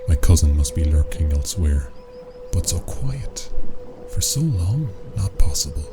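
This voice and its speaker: Man acting a very deep, brooding sinister schemer. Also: very animated, measured pace. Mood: brooding, frustrated. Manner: archaic, ominous, musing phrasing. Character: brooding, menacing schemer obsessed with a sea beast. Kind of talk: theatrical radio drama acting. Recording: music playing loudly behind.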